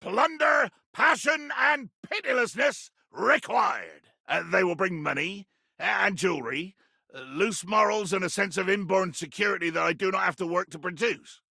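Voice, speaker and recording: An actor playing a blustering, proud pirate captain in a video game, with a gravelly, raspy voice and pompous, old-fashioned seafaring speech. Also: male voice, high voice, lively intonation, normal speed, pushed and bright, no echo, studio quality, heavily acted. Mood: rousing, wistful, then demanding. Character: blustering, theatrical pirate captain, bearded, proud, adventure-hungry. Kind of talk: video game character acting.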